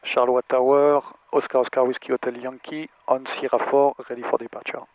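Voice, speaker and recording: A man's voice: medium pitch, level tone, normal speed, a little hiss or hum. Male student pilot on dull radio intercom. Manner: tentative, checking with short questions. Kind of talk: practising air traffic radio calls. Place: small training aircraft cockpit. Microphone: aviation headset. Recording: muffled aircraft radio intercom.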